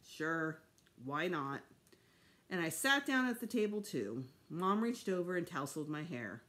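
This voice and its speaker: A middle-aged woman reading a children's novel aloud in an expressive storytime style. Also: on the low side, normal speed. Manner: expressive, acts out dialogue. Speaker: storytime reader. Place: small home room, seated indoors.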